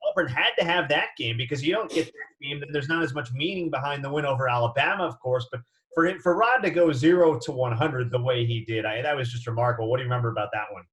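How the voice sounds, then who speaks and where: A man's voice: medium pitch, fast talker, a bit bright, rough recording. An American man hosting a conversational sports interview.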